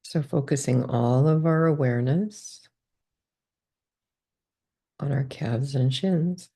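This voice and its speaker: Low, gravelly woman guiding a meditation. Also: slow pace. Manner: calm, gentle step-by-step instructions. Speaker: meditation guide. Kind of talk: guided body scan meditation.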